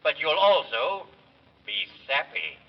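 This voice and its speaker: An actor playing a cheeky talking magic mirror who mocks in rhyme, in a 1930s children's radio serial. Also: male voice, on the high side, sing-song, slow, heavily acted. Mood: mocking, warning. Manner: rhyming, mocking. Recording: distant, muffled 1930s radio recording.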